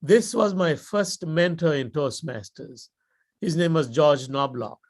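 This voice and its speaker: Sri Lankan man giving a measured speech. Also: on the high side, darkish tone. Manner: measured, deliberate storytelling with dramatic pauses. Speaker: guest speaker. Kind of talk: motivational speech.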